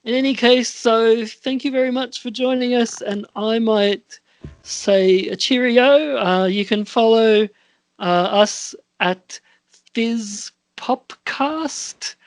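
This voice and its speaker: A male podcast host chats casually at an unhurried, slow pace. He speaks haltingly and lets sentences trail away before picking them up again. The sound is dry, with hardly any room around it. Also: very high-pitched, dry recording. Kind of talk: casual chat.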